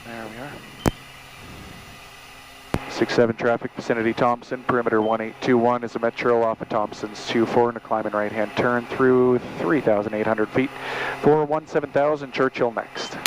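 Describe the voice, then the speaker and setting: A man of average pitch speaks as an airline first officer through a cockpit headset. His calls are clipped and procedural yet easygoing, and heavy aircraft noise runs through the intercom feed. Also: fairly even intonation, normal speed. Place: small turboprop cockpit in flight. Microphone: aviation headset. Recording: noisy aircraft intercom feed with engine drone.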